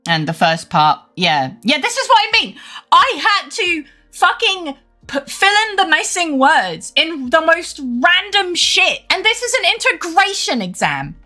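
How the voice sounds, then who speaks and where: A young woman streamer chatting casually while gaming, animated and swearing freely with frequent laughs. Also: very high voice, measured pace, faint music behind. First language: English.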